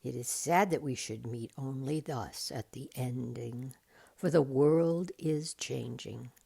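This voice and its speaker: Storybook ancient tree giant, male, played by a woman: low, creaky, solemn and drawn-out, sad yet fond. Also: mellow, heavily acted. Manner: slow, solemn, drawn-out speech.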